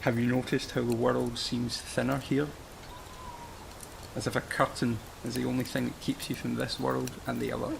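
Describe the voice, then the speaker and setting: A man acting a gravelly, solemn mystic. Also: medium pitch, completely flat delivery, background noise. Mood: grave, mystical. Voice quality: gravelly, creaky. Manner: grave, poetic, measured. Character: solemn, mournful man recalling a dying ancient land. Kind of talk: radio play acting.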